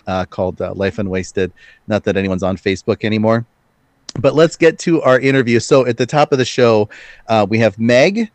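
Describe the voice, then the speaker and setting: A man's voice: fairly low pitch, darkish tone, no room sound. A man of about forty hosting a podcast, speaking casually with many ums and uhs. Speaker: podcast host.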